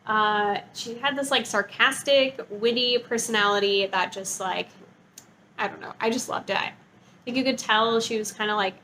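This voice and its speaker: High-voiced woman chatting casually about books. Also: steady tone, normal speed, pushed and bright, a little hiss or hum, low-quality recording. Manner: bubbly, gushing, informal. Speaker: book reviewer and vlogger. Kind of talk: casual chatty book review.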